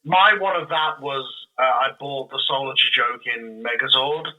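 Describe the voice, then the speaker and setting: A man chatting casually as an interview guest, often halting and correcting himself. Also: medium pitch, sharp tone, poor audio. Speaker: podcast guest, audio drama creator.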